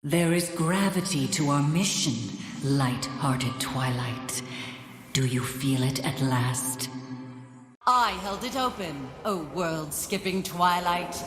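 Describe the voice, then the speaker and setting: An actress playing a solemn, proud celestial goddess in a video game, speaking in grave, poetic declamation with a reverberant effect. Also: female voice, deep voice, expressive, slow. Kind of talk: theatrical video game character voice lines. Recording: long echoing reverb under music.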